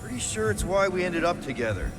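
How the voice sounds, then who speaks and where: A flippant, earnest space outlaw talks in casual slang in a video game. His pitch is high and swings widely. There is long reverb around the voice. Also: very animated, fairly quick, over music. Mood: exasperated, earnest. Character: cocky, earnest young male space outlaw leader. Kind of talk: video game cutscene voice acting.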